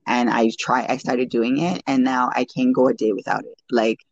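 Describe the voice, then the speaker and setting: A woman's voice: extremely low pitch, steady tone, moderate pace, creaky, no echo, lo-fi sound. A woman in her forties, a nurse, chatting casually and giving practical advice, laughing easily. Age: 40s. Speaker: nurse and guest. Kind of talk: casual podcast chat.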